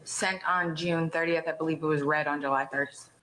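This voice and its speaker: A woman's voice: deep voice, steady tone, normal speed, sharp tone. A woman giving formal courtroom testimony as an investigator. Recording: echoey courtroom, faint noise.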